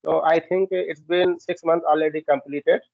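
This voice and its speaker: A man whose first language is Hindi, speaking beginner English with an Indian accent, pausing often with "uh". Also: fairly high pitch, flat delivery, vocal fry, no echo. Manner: halting beginner English, many fillers. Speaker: English learner. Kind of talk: casual practice conversation. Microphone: app call. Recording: dull, dry call audio.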